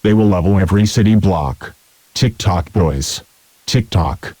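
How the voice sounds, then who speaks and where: A man's voice: low voice, unhurried, background noise. A man playing a menacing anonymous terrorist who hijacks an emergency broadcast, making taunting threats in short clipped statements. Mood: cold menace. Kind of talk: scripted villain monologue in fictional emergency broadcast.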